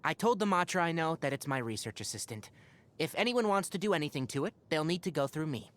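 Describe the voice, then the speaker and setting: An actor playing a young fox-eared forest ranger in a video game, scholarly and patient, speaking in an articulate, instructive and gently wry way. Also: male voice, a little high, lively intonation, fast talker. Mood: composed, instructive. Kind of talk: scripted game character voice lines.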